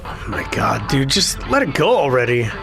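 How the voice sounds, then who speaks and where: A man's voice: mid-range voice, big pitch swings, moderate pace, vocal fry. A man playing a disillusioned, dismissive younger brother in an audio drama, grudging and slangy. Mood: fed up. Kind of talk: naturalistic audio drama dialogue. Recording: music bed under dialogue.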